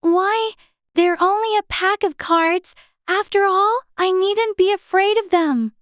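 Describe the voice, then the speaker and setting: A woman's voice: very high voice, takes it slowly, a bit bright, no room sound, studio quality, full character voice. A woman playing a polite, curious, plucky little girl in a dramatized storybook.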